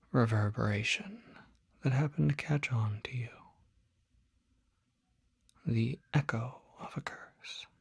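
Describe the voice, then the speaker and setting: A person voicing a polite, soft-spoken demon doctor in a whispered ASMR roleplay, with breathy, formal, precise speech. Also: medium pitch, exaggerated voice. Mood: calm, clinical. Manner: formal, unhurried, precise diction.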